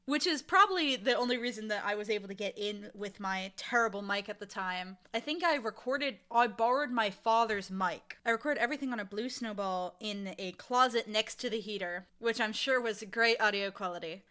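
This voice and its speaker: Woman, twenties, bright forward voice at medium pitch, candid and animated interview guest. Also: fairly quick, few pauses. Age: 20s. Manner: animated, candid, self-deprecating storytelling. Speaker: audio drama showrunner, interview guest. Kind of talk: casual interview chat.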